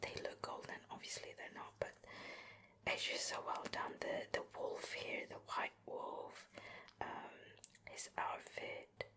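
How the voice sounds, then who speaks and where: Softly whispering woman, slow ASMR delivery. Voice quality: breathy whisper. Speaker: ASMR host. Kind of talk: soft whispered ASMR show and tell. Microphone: close ear-to-ear microphone.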